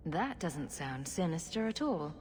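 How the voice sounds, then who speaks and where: An actress playing a resolute, compassionate heroine in a fantasy video game. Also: female voice, deep voice, sing-song, unhurried, a little hiss or hum. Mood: concerned, questioning.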